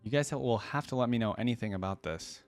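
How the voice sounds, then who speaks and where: Very low-voiced woman, musing casually. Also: fast talker, darkish tone, soft background music. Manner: musing, inquisitive, often checking with "right?". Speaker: reaction video host. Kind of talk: casual reaction commentary on game voice lines.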